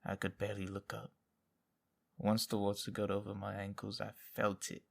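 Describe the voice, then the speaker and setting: An actor playing a haunted, loyal soldier who survived captivity, speaking in a halting, confessional way in an audio drama. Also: male voice, fairly low pitch, completely flat delivery, gravelly. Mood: bitter, fearful.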